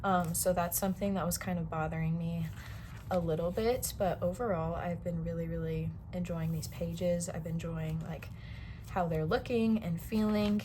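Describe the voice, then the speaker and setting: A young woman vlogger chatting casually about her planners, a little hoarse as she gets over a cold, rambling with lots of fillers. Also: fairly low pitch, steady tone, moderate pace, mellow, a little hiss or hum. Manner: rambling, many fillers and restarts. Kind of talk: casual chat.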